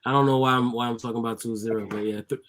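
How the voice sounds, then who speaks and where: A man's voice: medium pitch, fairly even intonation, racing, background noise. Laughing Haitian-born man, French native. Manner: laughs often, agreeable, repeats himself. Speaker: podcast co-host. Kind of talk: casual chat.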